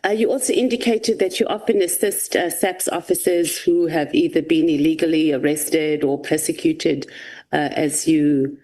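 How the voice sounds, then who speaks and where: A woman asking a witness measured questions at a formal hearing, pausing often. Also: deep voice, steady tone, warm tone. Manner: measured, with frequent "uh" pauses. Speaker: committee member questioning a witness.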